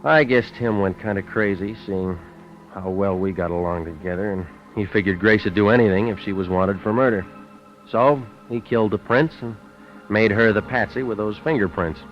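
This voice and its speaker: An actor playing a tough, weary, wisecracking private detective in 1940s radio style, speaking in a clipped, dry, deadpan way. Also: male voice, lowish voice, normal speed, close to an everyday voice. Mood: wry, matter-of-fact. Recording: muffled vintage radio recording with music.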